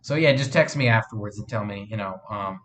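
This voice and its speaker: A man's voice: on the low side, brisk, a bit bright, slight background noise. A sniffly man hosting a casual live stream, rambling with lots of fillers and restarts as he answers viewer chat. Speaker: live streamer and fan film maker.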